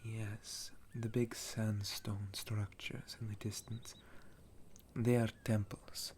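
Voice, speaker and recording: A gentle, ancient male sun elf, acted by a man in an ASMR roleplay, with a lowish voice and very slow, hesitant delivery. He speaks softly and breathily, often sinking into a whisper like an old soldier telling tales at ease. Some noise sits behind the voice. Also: little pitch movement. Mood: calm, advising.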